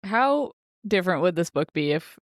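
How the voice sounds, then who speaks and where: A woman co-hosting a book podcast in casual chat, playful and wry, quick to laugh. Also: fairly low pitch, big pitch swings, no echo, studio quality. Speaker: podcast co-host.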